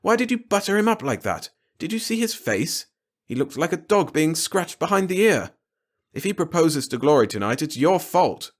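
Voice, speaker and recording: A man's voice: on the high side, big pitch swings, moderate pace, pitched above the performer's own voice. A man voicing an exasperated, protective middle-aged father in a storybook, speaking with emphatic indignation.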